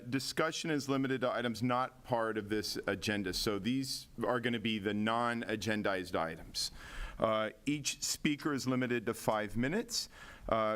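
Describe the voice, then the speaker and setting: A man's voice: medium pitch, fairly bright tone. A man chairing a city commission meeting, reading agenda items aloud with frequent filler words.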